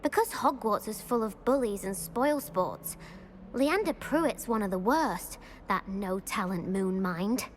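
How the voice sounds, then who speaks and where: A woman's voice: medium pitch, animated, measured pace, a little hiss or hum, natural voice. An actress playing a self-pitying, indignant British schoolgirl in a video game, prim and plaintive in a dramatic way. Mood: resentful. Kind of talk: video game cutscene acting.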